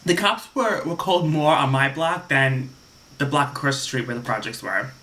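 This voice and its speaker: A man's voice: medium pitch, normal speed, pushed and bright, rough recording. A young man from Staten Island, telling a rambling casual story to camera and restarting his sentences often. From Staten Island, New York. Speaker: vlogger.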